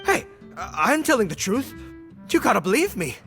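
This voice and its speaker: A flustered townsman in a video game, stammers defensively. His voice is sharp and tense, swinging up and down, with music behind it. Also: sits high, big pitch swings, normal speed, heavily acted. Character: flustered townsman pleading to be believed. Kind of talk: animated video game voice acting. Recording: background music.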